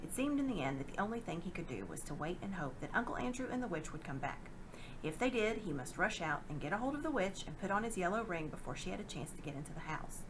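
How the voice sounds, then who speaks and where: A woman reading a children's novel aloud in a dramatic, expressive narrating style. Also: fairly low pitch, fast talker, background noise. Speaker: storybook reader.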